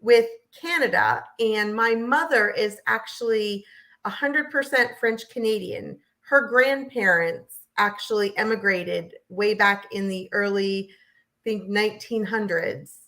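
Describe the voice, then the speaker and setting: Female American guest, bright forceful voice, chatty storytelling delivery. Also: medium pitch, unhurried, poor audio. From southern New Hampshire, United States. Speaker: guest, realtor. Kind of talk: interview storytelling.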